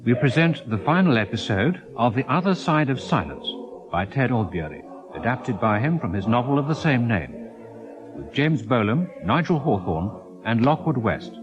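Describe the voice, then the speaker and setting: A man's voice: mid-range voice, big pitch swings, normal speed, muffled recording. A man with a British accent making formal scripted continuity announcements for a radio drama. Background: music.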